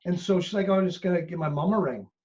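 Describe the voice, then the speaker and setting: A middle-aged man with a Canadian accent tells stories in a relaxed podcast conversation. His voice sits a little high for a man, and he acts out quotes as he goes. The audio is muffled and dull. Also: fast talker. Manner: animated storyteller, acts out quotes. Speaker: business coach guest. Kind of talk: casual podcast chat.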